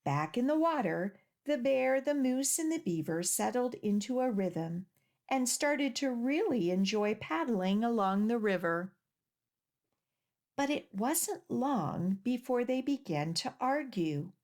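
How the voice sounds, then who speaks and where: A woman hosting a children's storytime, reading aloud and leading rhymes with clear, deliberate phrasing for young listeners. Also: on the high side, animated, darkish tone. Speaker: children's library storytime host.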